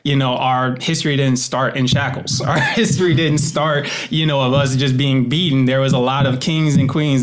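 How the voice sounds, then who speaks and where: American male interview guest, mid-pitched, thoughtful and halting, casual reflective talk. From United States. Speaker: interview guest, author and voice actor.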